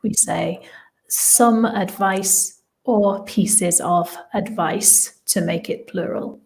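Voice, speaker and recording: A woman's voice: mid-range voice, slow pace, creaky, a little mellow, poor audio. A woman with an English accent co-hosting an online language lesson, using simple, plainly phrased sentences for learners. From Midlands of England. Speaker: English teacher, webinar co-host.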